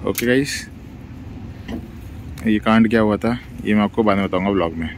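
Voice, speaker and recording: A man's voice: on the low side, normal speed, slight background noise. A male travel vlogger chatting casually and playfully to his viewers, mixing English words into his talk.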